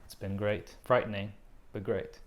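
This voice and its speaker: A man's voice: lowish voice, little pitch movement, normal speed. A man whose first language is Portuguese, giving a casual vlog update about his art, hesitant and self-deprecating with frequent fillers. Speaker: artist giving a channel update.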